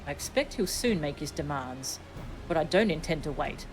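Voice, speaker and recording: A woman reads as a resolute young nobleman in a fantasy game. The delivery is measured and formal, with a commanding edge, over soft game music. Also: sits low, expressive, low-quality recording.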